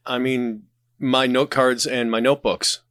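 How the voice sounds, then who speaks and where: A man's voice: medium pitch, little pitch movement, unhurried, a bit bright, dry recording, clean studio sound. A man speaking as a podcast guest, explaining his creative process in casual conversation. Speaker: podcast guest, writer and audio drama creator.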